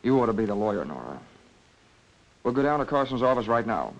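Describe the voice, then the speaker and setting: A man's voice: fairly low pitch, sing-song, a little fast, muffled recording. A man acting a grave, cautious lawyer in a 1940s film melodrama. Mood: grave, resigned. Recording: faintly hissy old film soundtrack.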